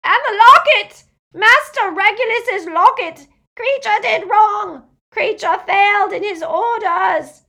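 An old, servile house-elf , played by a woman narrator, croaks and mutters through a storybook tale. His voice is strained and raised in pitch, full of bitterness and anguish, with gasping phrases. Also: expressive, slow, fairly bright tone, heavily acted. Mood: hysterical. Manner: muttering, gasping, speaks of self in third person.

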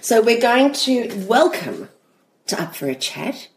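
A woman's voice: fairly high pitch, big pitch swings, measured pace, reverberant, slight background noise. Nasal, playful woman with a flu. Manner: playful, laughs often. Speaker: podcast co-host. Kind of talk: casual chat.

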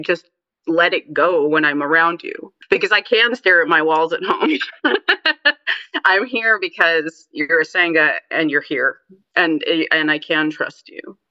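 Bright-voiced woman sharing candidly, dull recording. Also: sits low, dry recording. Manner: earnest, confiding. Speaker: member of a meditation group. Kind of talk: candid, informal personal sharing.

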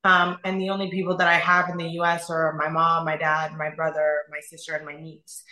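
A young adult woman from Iran speaking as a guest, earnest and halting, often repeating her phrases. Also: low voice, monotone, pushed and bright, roomy sound. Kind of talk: emotional personal testimony in conversation.

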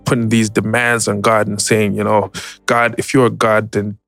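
A man gives personal testimony in a fairly low voice with a lot of fry. He speaks reflectively and hesitantly, pausing often between phrases. Faint music sits behind him. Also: flat delivery, a bit bright, dry recording. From Zimbabwe. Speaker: interview guest. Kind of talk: personal testimony, conversational storytelling.

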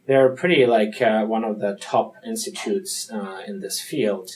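A man with a German accent speaks as an interview guest in a lowish voice. He answers thoughtfully, often pausing with ums and restarting his sentences. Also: darkish tone, low-quality recording. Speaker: physician-researcher guest.

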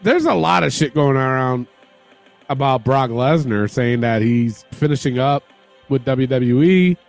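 A man hosting a casual wrestling podcast, excitable and swearing freely. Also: mid-range voice, a little slow, creaky, soft background music. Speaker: podcast host.

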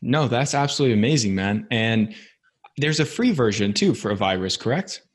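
A man's voice: medium pitch, lively intonation, a little fast, slightly rough. A man hosting a podcast interview, chatting in a friendly, easygoing way and often calling his guest "man". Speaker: podcast host. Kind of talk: casual interview.

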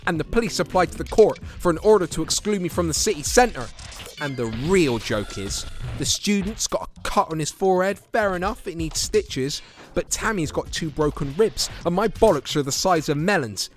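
A man's voice: a little high, animated, normal speed, faint music behind, close to an everyday voice. An actor playing a weary, bitter young homeless man with a British accent in an audio play. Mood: indignant storytelling. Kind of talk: audio play monologue and dialogue.

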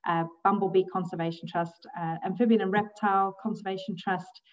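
A woman's voice: medium pitch, steady tone, fairly quick, distant mic, muffled recording. A woman, a guest in a casual interview, talking hesitantly with many ums and easy laughs. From grew up in South Africa. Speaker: guest, bat conservationist.

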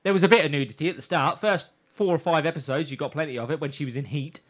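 A man's voice: fairly high pitch, fast talker. A man hosting a casual, jokey review chat, swearing freely as he recaps. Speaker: podcast host.

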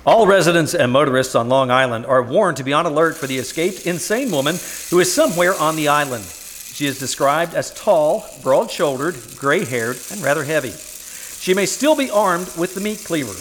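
An actor playing a polished old-time radio announcer with an emphatic, formal delivery. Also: male voice, on the high side, animated, moderate pace, a bit bright, a little hiss or hum. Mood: grave urgency. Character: polished radio pitchman and news bulletin announcer.

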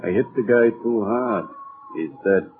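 An actor playing a cool, wisecracking body snatcher with deadpan gangster slang, in 1940s radio drama style. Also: male voice, lowish voice, measured pace, close to an everyday voice. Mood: hard, cool. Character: cool, wisecracking male body snatcher, street-tough crook. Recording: tinny, hissy old 1940s radio recording.

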